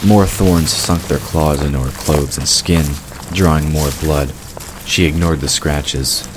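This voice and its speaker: A man's voice: extremely low pitch, steady tone, a little slow. A man reading scripted third-person narration for a fantasy audio drama. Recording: clear background noise of night crickets.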